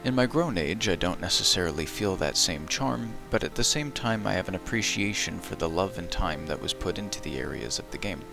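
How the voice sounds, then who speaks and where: A man's voice: deep voice, expressive, brisk, gravelly, few pauses, over music. A man in his adult years giving scripted, dry and wry voice-over narration as a video essayist. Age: adult who played as a child in the early 2000s.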